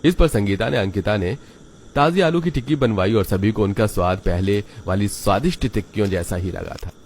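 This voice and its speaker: Low-pitched male children's story narrator. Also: brisk, a little mellow, a little hiss or hum.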